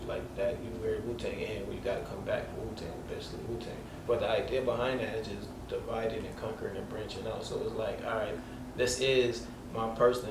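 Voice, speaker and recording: Man, light voice, slangy rambling host, roomy echo, away from mic. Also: on the low side. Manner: loose and rambling, heavy on filler "like" and street slang. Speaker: podcast host. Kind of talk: casual interview chat. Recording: echoey room, mic at a distance, noisy background.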